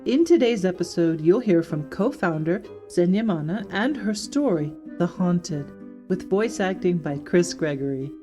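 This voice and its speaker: A woman's voice: fairly low pitch, lively intonation, measured pace, warm tone. A woman presenting a podcast in a scripted introduction, reading to the listeners. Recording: music playing under the voice. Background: plucked guitar music.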